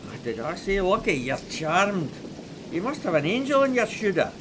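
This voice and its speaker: An actor playing an excitable front-line soldier in an audio drama. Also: male voice, high-pitched, normal speed. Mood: amazed, relieved. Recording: very noisy battlefield effects.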